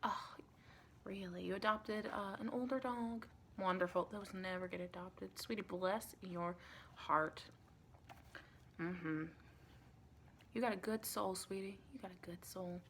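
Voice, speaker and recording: A soft-spoken woman playing a chatty, caring female vampire intake interviewer in an improvised ASMR roleplay, rambling and reassuring with pet names. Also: mid-range voice, steady tone, unhurried, pauses often, a little hiss or hum. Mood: tender. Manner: rambling, reassuring, endearments like honey and sweetie.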